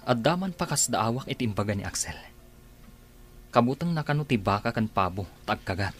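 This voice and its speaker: A man's voice: medium pitch, lively intonation, brisk, slight background noise, close to an everyday voice. A man playing a loyal, deferential farmhand who speaks earnestly to his boss, in a radio drama. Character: loyal farmhand, uncle figure to the boy.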